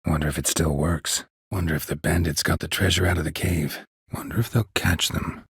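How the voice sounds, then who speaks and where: A gruff, world-weary monster hunter from a fantasy video game, with a deep and extremely husky, gravelly voice. He speaks in clipped, deadpan phrases. The recording is dry and close. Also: brisk, no echo, full character voice. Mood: terse, stoic. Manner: terse, deadpan, clipped sentences.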